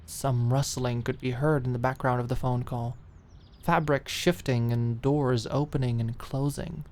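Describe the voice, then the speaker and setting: A woman's voice: very deep voice, steady tone, measured pace, a little mellow. A woman reading scripted, dramatic story narration as an audiobook narrator.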